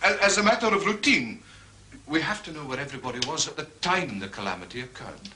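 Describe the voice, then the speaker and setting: Dogged inspector, period mystery: rough-edged husky tone, forceful, animated intonation, methodical and formal. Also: male voice, a little high, fast talker, full character voice. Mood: official, probing. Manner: ponderous wording, methodical questioning. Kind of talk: period detective drama acting.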